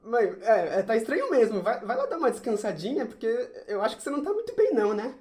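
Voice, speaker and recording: Woman playing a whiny, very animated schoolboy. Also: fairly high pitch, fast talker. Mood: alarmed. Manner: stammering, exasperated. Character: whiny, sulky schoolboy who hates science, easily flustered. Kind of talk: amateur audio drama acting.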